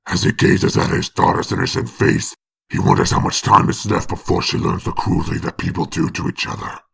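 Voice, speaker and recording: A man with a very gravelly, breathy rasp giving dramatic scripted narration of a comic book. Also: low-pitched, steady tone, fairly quick, fairly bright tone.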